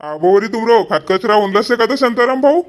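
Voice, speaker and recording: A man's voice: high voice, lively intonation, brisk. A man voicing a homely village husband and headman in a cartoon comedy, blunt in manner, with a Powari accent. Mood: loud, encouraging. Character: impatient but agreeable village husband and headman.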